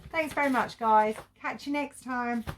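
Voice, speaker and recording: A woman's voice: on the high side, a little slow, slight background noise. A middle-aged woman giving a casual, chatty review to viewers in an informal, rambling, conversational way. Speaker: children's book reviewer and vlogger.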